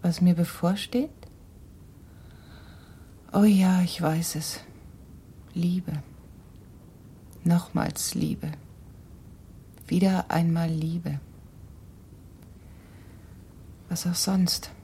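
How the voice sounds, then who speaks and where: An actress playing a weary, sardonic woman in a radio drama, speaking in hushed, half-whispered fragments. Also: on the low side, steady tone, very slow, long pauses, a little hiss or hum. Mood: resigned, bitter. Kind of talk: German radio drama acting.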